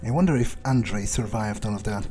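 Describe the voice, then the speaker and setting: A man's voice: on the low side, fairly quick, mellow. A man doing an in-character video game playthrough, thinking aloud in a blunt, sweary, informal way. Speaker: gamer playing in character. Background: faint game music.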